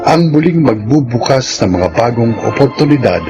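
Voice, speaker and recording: A man's voice: mid-range voice, animated, normal speed, over music, poor audio. A man announcing a radio drama in a scripted, dramatic narration style.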